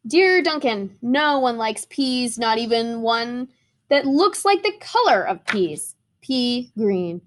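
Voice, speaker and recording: A woman's voice: on the high side, very animated, takes it slowly. A woman voicing a grandiose runaway crayon in a storybook, with a pompous, formal manner. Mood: indignant, proud. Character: grandiose runaway pea-green crayon, self-styled magnificent adventurer.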